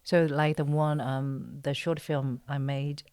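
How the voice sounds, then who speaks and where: A woman from China with a Chinese accent, chatting in an interview and often pausing to search for words. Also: deep voice, level tone, a little mellow, clear, resonant tone, no room sound, studio quality. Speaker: interview guest, actress and writer. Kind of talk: casual interview chat.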